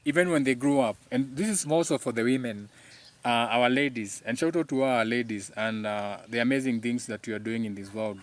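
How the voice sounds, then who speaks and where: A man's voice: mid-range voice, brisk. A man with a Kenyan accent and Kikuyu as his first language, speaking informally as the host of a live talk, emphatic and preachy, often repeating his phrases.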